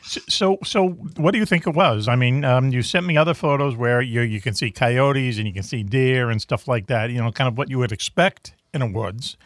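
A man's voice: mid-range voice, brisk, few pauses, no echo. Male talk-show host with a dry, close sound; easygoing and conversational, restarts his sentences. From New England. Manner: conversational, repeats words as he starts sentences. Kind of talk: casual interview.

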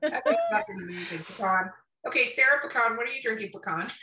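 Female podcast host, higher voice, loose and joking, slightly tipsy, roomy sound with music underneath. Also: lively intonation, quick pace, recorded from a distance, heavy background noise. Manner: playful, profane, rambling. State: tipsy from drinking. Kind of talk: casual, joking podcast chat with sponsor reads.

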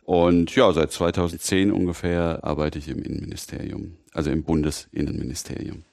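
A man's voice: a bass voice, flat delivery, a little fast, vocal fry, a little mellow, no room sound, studio quality. A man from Mainz, a guest on a podcast, speaking thoughtfully and hesitantly with many fillers. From Germany, Mainz. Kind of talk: casual podcast conversation.

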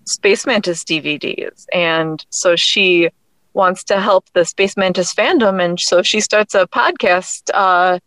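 A woman's voice: fairly low pitch, takes it slowly, fairly bright tone, dry recording. A woman guest, a writer, talking in an enthusiastic, chatty way in a casual interview. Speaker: guest, writer and audio drama creator.

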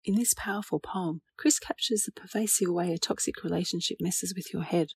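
A woman's voice: lowish voice, fairly quick, slightly rough, dry recording. A woman hosting a poetry programme, reading scripted narration. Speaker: podcast host.

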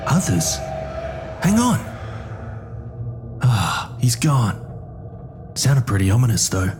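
A man with a mid-range voice hosts an audio drama in character. He speaks slowly and casually, as though leading a companion along, with music clearly playing behind him. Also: medium pitch, big pitch swings. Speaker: host and guide of a story podcast.

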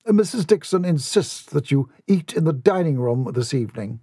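A man's voice: on the high side, lively intonation, moderate pace, mellow, husky, no echo, studio quality, voice pushed up. A man voicing a formal, earnest butler with a firm manner in a storybook. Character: earnest, exasperated household butler, male.

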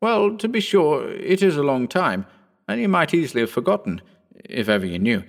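Man doing a keen young gent, higher voice, coaxing. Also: sing-song, moderate pace, gravelly. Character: young gentleman, eager and coaxing.

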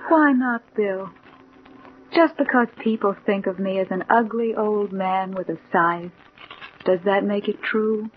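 An actress in a 1940s radio drama playing a calm, alluring young woman who is Death, soothing and gently insistent. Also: medium pitch, measured pace, close to an everyday voice. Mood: gentle, calm. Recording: dull, noisy 1940s radio recording.

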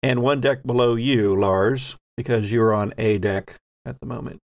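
Unhurried man with a creaky voice. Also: medium pitch, a little mellow, no room sound, studio quality. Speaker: game master. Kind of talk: improvised tabletop game narration.

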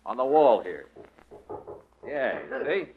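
A wry, take-charge private detective in a vintage radio drama. He is brisk and dryly patient, with a very lively, swinging delivery. The recording is dull and muffled. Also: mid-range voice, a little hiss or hum. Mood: calm, focused. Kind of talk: 1940s-50s radio detective drama acting.

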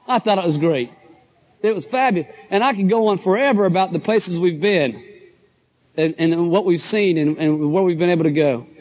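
A middle-aged man with a hoarse voice, giving an enthusiastic, folksy motivational talk to a live audience. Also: sits high, darkish tone. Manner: folksy, enthusiastic, addresses crowd as guys and folks. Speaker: motivational speaker at a business convention.

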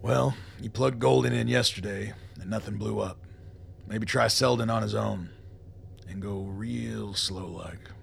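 An actor playing a weary, gruff old colonel in a radio play, with a gravelly voice and a blunt, folksy, wry way of talking. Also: male voice, lowish voice, steady tone, measured pace. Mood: wry, shrewd. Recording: noticeable background noise.

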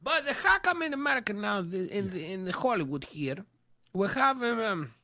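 A man playing a fast-talking Greek immigrant with a put-on Greek accent and broken English, riffing in an improvised comedy podcast. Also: sits high, sing-song, pushed and bright, dry recording, studio quality, heavily acted. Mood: bantering. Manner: broken English, exaggerated and sing-song. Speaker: co-host in character.

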